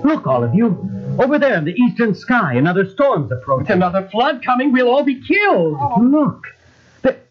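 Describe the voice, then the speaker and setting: A man playing an eager, excitable son of a patriarch, speaking dramatically in a church radio drama. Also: very high-pitched, fairly quick. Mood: alarmed, amazed. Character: excitable questioning son of Noah. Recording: dull vintage tape, loud music behind.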